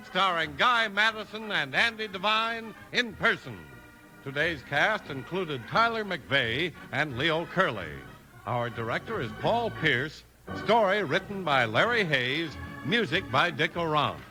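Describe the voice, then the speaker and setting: Bright, high-pitched male radio announcer. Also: rough recording. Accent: American. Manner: polished, emphatic sales delivery. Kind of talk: 1950s radio announcing and sponsor reads. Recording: old radio transcription with music.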